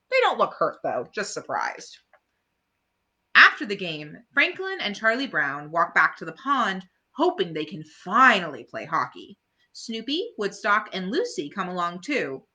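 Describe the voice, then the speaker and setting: A woman reading a children's bedtime story aloud expressively, pausing to chat to her young listeners. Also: fairly high pitch, sharp tone.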